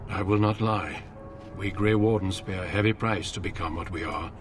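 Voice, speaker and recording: A man playing a grave veteran leader of a warrior order in a fantasy game, measured, formal and authoritative. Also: sits low, over music, lightly acted. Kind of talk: scripted fantasy game dialogue.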